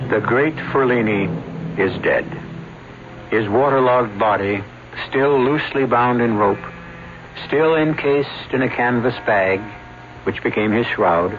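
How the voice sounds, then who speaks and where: An actor playing a grave, ominous narrator of a radio mystery, with solemn, deliberate phrasing. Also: male voice, mid-range voice, background noise, natural voice. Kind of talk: dramatic scripted radio narration. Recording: muffled, faintly humming old radio broadcast.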